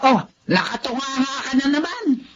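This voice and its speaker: A woman's voice: high voice, sing-song, moderate pace, lo-fi sound, noisy background. A woman with a Filipino accent playing a cruel, greedy aunt in a melodramatic radio drama, scolding and sneering. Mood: scolding anger. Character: cruel, greedy aunt and mother, domineering, mocking villain.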